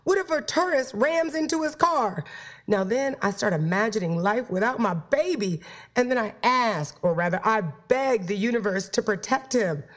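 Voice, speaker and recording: A woman hosting a playful, flirty talk show about sex and relationships, her voice stuffed up from a cold. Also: fairly high pitch. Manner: playful, flirty, talks straight to listeners. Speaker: podcast host.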